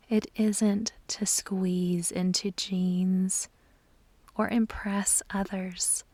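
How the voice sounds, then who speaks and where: A woman reads a guided meditation at a slow, measured pace. Her voice is soft and whispery, with very little rise and fall. She gives soothing instructions in a dry recording with no room sound. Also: mid-range voice, no echo.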